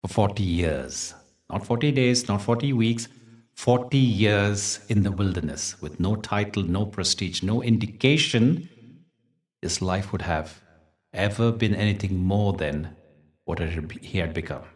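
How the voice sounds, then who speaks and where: Male meditation guide, low-pitched, gentle and deliberate storyteller, miked from slightly far off. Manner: gentle, deliberate, soothing delivery with dramatic pauses.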